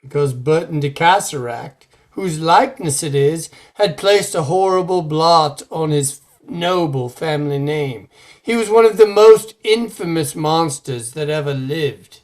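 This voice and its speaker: Guy acting a grave formal man telling a creepy legend, real slow, slightly high voice, old time radio horror. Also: natural voice. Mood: ominous, formal. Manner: formal, deliberate, dramatic storytelling. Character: grave, ominous man recounting a dark family legend.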